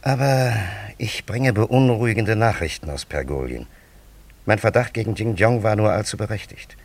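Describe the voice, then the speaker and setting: Lofty, gravel-voiced ancient time traveller. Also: male voice, on the low side, expressive, moderate pace. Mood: disdainful, urgent. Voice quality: gravelly, husky. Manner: lofty, formal, ornate diction. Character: dignified, pleasure-loving male time traveller from an ancient land. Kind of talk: German radio drama acting.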